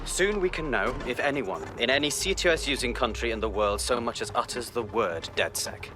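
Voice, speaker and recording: An actor playing a calm, menacing counterterrorism director in a video game, speaking in a measured, oratorical way. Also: male voice, mid-range voice, music in the background, close to an everyday voice. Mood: smug.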